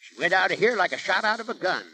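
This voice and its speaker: An actor playing a folksy, laconic small-town innkeeper with a dry manner in a 1930s radio comedy. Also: male voice, high voice, expressive, fast, sharp tone, full character voice, rough recording.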